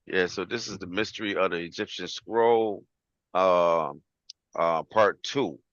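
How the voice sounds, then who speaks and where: A man's voice: deep voice, unhurried, fairly bright tone, no room sound. A man hosting a casual online livestream, talking informally and repetitively, with frequent "you know" and "man" as he goes. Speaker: host of an online show. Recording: dull lo-fi livestream audio.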